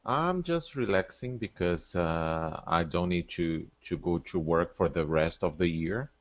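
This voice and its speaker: A man's voice: sits low, unhurried, no echo. A man giving casual answers as a student in an online class. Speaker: English learner, student. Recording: muffled, lo-fi online call.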